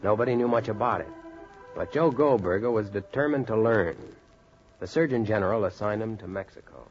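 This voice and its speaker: A muffled 1940s radio recording with faint music behind an earnest, determined American doctor played by a man. His voice is low and measured, with a storyteller's delivery. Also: close to an everyday voice. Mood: stirring, solemn. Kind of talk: 1940s radio drama narration and acting.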